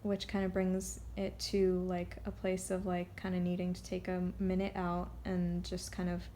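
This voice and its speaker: A woman giving a casual, unscripted card reading, rambling and halting as she drifts off on tangents. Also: lowish voice, monotone, mellow, slight background noise. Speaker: tarot card reader.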